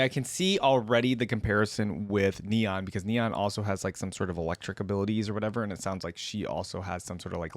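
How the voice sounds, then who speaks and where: A man's voice: on the low side, no room sound. A man hosting a reaction video, commenting casually and reading written lore aloud. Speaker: YouTube reaction host.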